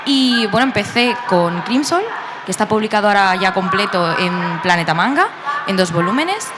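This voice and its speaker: A woman's voice: medium pitch, animated, moderate pace, very noisy. A woman who is a manga artist guest, talking enthusiastically and laughing easily in a casual interview. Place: busy convention hall.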